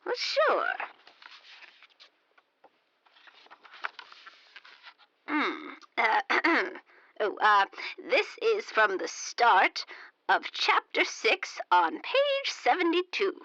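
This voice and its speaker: Racy elderly lady novelist, very animated pitch, sharp bright tone, coy, unhurried, dry slightly dull recording. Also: no room sound, heavily acted. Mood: fussy, eager. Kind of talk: audio drama, comedic character acting.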